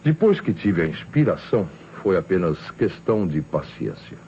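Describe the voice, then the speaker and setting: An actor playing a shrewd, self-assured police inspector in an old Brazilian radio mystery, speaking emphatically and with some pomp in a Brazilian accent. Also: male voice, sits low, very animated, normal speed, mellow, slight background noise. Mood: insistent, triumphant. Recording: muffled old radio recording, music behind.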